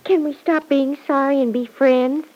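A woman's voice: high-pitched, fairly even intonation, a little slow, lo-fi sound. An actress playing a sweet, earnest young girlfriend, girlish in manner, in an old-time radio serial. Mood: relieved, bright. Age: young adult.

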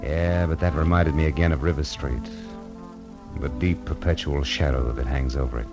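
A man's voice: a bass voice, fairly even intonation, normal speed, loud music behind the voice. A man playing a world-weary, wry private detective in old-time radio noir. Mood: weary, brooding. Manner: wry, hard-boiled.

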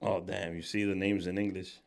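A man's voice: sits low, level tone, moderate pace, creaky. A man hosting a podcast in casual, joking chat, often slipping into voices. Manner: playful, joking, breaks into impressions. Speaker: podcast host.

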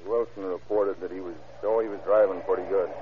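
An actor playing a gruff, excitable, slangy policeman in an old-time radio drama. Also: deep voice, fairly quick, close to an everyday voice. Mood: sceptical. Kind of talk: 1930s-40s radio drama acting. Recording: tinny, noisy old radio recording.

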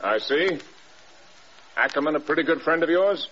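Husky, commanding American general. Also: male voice, a little high, lively intonation, moderate pace, pushed and bright, heavily acted. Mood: stern. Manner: clipped, commanding, blunt. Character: stern, demanding air force general, man, unyielding commander. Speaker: actor in a radio drama. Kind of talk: classic American radio drama acting. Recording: dull, band-limited old radio recording.

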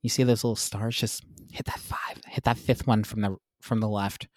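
A young man hosting his own podcast in a casual, upbeat, self-mocking chat, a little congested and clearing his throat. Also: lowish voice, little pitch movement, normal speed, darkish tone, no echo, in a whisper, studio quality. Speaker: podcast host.

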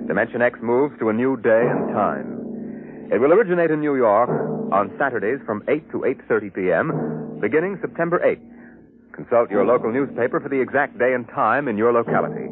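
An American man announcing a 1950s radio drama in a dramatic, formal style. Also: medium pitch. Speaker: radio show host announcer. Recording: narrow-band old radio, music behind. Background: music.

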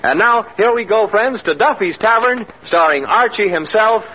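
An old, muffled radio broadcast. A man announces in showy 1950s style, high-pitched and rough-edged, with big emphatic rises and falls. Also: expressive, moderate pace. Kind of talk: 1950s radio announcing, scripted sponsor reads.

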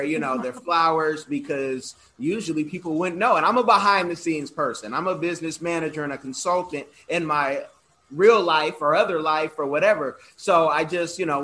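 Bright, high-voiced chatty male interviewer. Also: a little hiss or hum. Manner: chatty, jokey, rambling asides. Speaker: interviewer, singing teacher. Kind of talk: casual interview.

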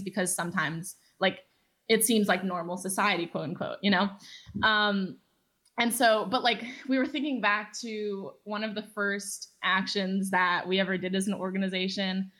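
A woman's voice: mid-range voice, a bit bright. An eighteen-year-old woman from Los Angeles hosting a podcast, speaking earnestly with many fillers. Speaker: podcast host, youth organizer. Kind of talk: casual podcast chat.

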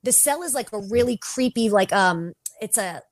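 A woman's voice: mid-range voice, lively intonation, moderate pace, no room sound. A woman guest on a podcast, chatting casually and loosely, filling her talk with "like". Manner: chatty, heavy use of "like". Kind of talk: casual chat.

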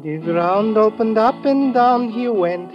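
Solemn island guide, high voice, stilted sing-song english, muffled old radio show. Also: male voice, slow, over music. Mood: eerie chanting. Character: solemn, superstitious male island guide, loyal sidekick. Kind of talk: 1950s American radio adventure acting.

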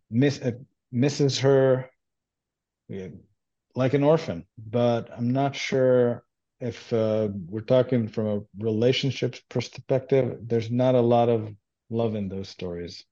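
Halting man, mellow and muffled. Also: mid-range voice. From Israel. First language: Hebrew. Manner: halting, searching for words with frequent restarts. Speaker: guest translator. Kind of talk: conversational interview answers.

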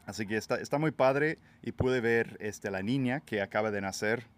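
A man from Texas hosting a casual podcast chat outdoors by a pool, English his first language, talking in a relaxed, hesitant way with many fillers and restarts. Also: lowish voice, normal speed. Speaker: podcast host.

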